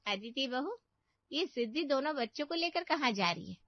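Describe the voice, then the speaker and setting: Woman acting nagging elderly aunt, high voice, boastful, scolding, cartoon family drama, dull dry recording. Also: animated, normal speed, no echo. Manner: scolding, complaining, exclamatory. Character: boastful, nagging elder aunt, fault-finding older sister. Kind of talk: Hindi cartoon family story dubbing. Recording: dull, muffled studio voice track.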